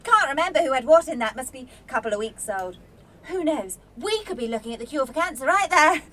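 A woman's voice: high-pitched, lively intonation, a little fast, fairly bright tone. A woman playing a scatty, overworked young student in a radio play, breezy, blunt and rambling as she talks. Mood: chatty, frazzled. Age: young adult. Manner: breezy, rambling, self-interrupting. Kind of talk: naturalistic radio play acting.

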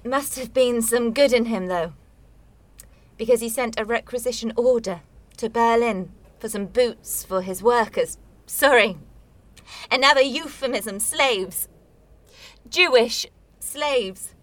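A woman's voice: fairly high pitch, slow, dry recording. An actress in a radio play voicing a blunt, bitter woman burdened by family guilt, with a sardonic, probing manner. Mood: bitter confession.

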